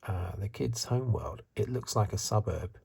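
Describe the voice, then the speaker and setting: A man giving soft-spoken, partly whispered ASMR review commentary in a breathy, hesitant, musing way. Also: lowish voice, normal speed. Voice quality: breathy, hushed. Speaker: host of a film review video.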